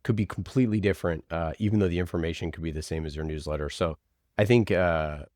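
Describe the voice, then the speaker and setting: A man answering interview questions casually, in a halting, conversational way with many small filler words. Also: deep voice, darkish tone, dry recording, clean studio sound. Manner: halting, conversational, frequent "like" and "you know". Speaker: podcast producer, interview guest.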